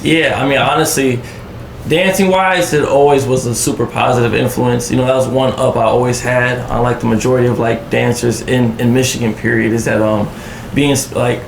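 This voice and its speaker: A man's voice: medium pitch, fairly even intonation, fairly quick, creaky, a little hiss or hum. A man from Southwest Detroit, a musician and dancer, chatting as a podcast guest in a laid-back, slangy way. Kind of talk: casual chat. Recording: echoey room.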